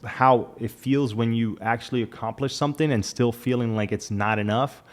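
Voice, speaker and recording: A man in his late thirties talking frankly to camera in a casual, reflective monologue, with lots of "like" in his speech. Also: medium pitch. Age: 30s. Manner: frank and conversational, peppered with "like". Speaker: vlogger.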